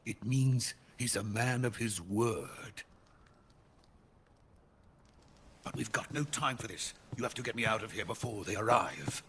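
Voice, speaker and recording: A man playing an anxious captive Soviet scientist in a video game, speaking in an urgent, deliberate manner. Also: on the low side, fairly bright tone, slight background noise, lightly acted. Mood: wary, urgent. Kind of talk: dramatic video game acting.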